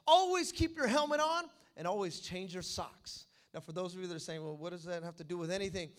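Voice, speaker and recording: A man preaching a sermon as pastor, animated, addressing the congregation with call-and-response asides. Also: high-pitched, brisk.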